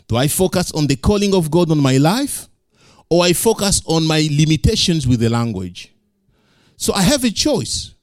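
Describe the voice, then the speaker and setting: A male pastor preaching in English, which is not his first language, building to loud emphatic peaks and repeating lines for effect. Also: fairly high pitch, no room sound, studio quality. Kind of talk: sermon.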